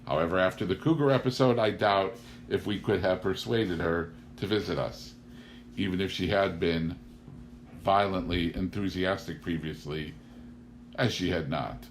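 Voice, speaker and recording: A man with a fairly low voice reads a humorous memoir aloud. The delivery is scripted audiobook narration, and the recording carries noticeable noise. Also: moderate pace. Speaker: audiobook reader.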